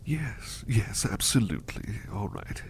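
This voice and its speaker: Man playing a low, gravelly, soft-spoken butler. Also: very animated, in a whisper. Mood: earnest, apologetic. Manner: halting, repeats phrases, effusively polite. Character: gentle devoted male butler, bashful, eager to please, doting. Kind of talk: soft-spoken ASMR roleplay.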